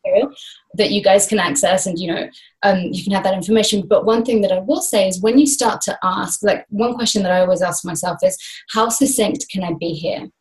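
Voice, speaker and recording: A woman's voice: medium pitch, brisk, poor audio. A woman in her thirties, a guest speaker, talking casually and animatedly over a laptop video call from a small room. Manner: animated, gestures widely with both hands. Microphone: laptop webcam on a video call.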